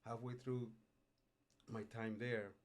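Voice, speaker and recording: A middle-aged man from Puerto Rico, a culinary instructor, telling his life story in a relaxed way, with frequent pauses and "uh"s, in a studio interview. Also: mid-range voice. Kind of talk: casual interview. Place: radio studio.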